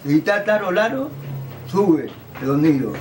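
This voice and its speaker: A man explaining instrument tuning in a halting, practical way in a documentary interview. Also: high voice, sing-song, a little mellow, distant mic, faint music behind.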